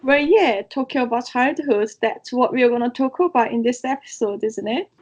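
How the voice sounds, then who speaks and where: A woman's voice: a little high, moderate pace, a little mellow. A woman from Korea, co-hosting a casual podcast and telling stories with frequent laughs. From South Korea. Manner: chatty storyteller who laughs often. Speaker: podcast co-host. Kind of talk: casual chat. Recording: slightly dull lo-fi sound.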